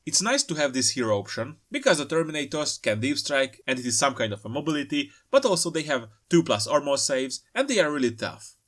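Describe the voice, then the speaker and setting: A man's voice: fairly high pitch, sing-song, brisk, hardly any pauses, a bit bright. Guy doing hobby video commentary, jokey and casual, voice goes up and down a lot. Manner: playful, jokey asides. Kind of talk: casual scripted-feel commentary on trailer pictures.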